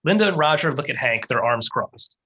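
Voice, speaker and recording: A reader delivers screenplay action lines as narration. The voice is mid-pitched, husky and sharp-edged. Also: animated, a little fast, muffled recording. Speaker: stage-direction reader. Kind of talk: scripted narration of screenplay directions.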